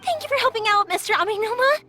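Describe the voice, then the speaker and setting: A woman voicing a cheerful, warm-hearted, chatty young firework maker in an anime-style game. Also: very high voice, expressive, fast, faint music behind, exaggerated voice. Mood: bright, excited. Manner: bubbly, chatty.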